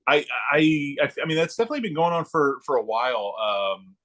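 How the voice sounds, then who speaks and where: A middle-aged man reminiscing casually, thinking aloud in a halting, informal way. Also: fairly high pitch, big pitch swings, a bit bright. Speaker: guest, former comics production worker turned librarian.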